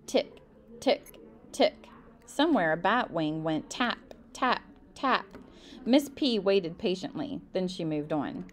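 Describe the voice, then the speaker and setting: Animated woman reading a children's story. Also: on the low side, takes it slowly, a little hiss or hum. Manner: animated, emphatic delivery. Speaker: reader of a children's book. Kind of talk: expressive read-aloud storytelling.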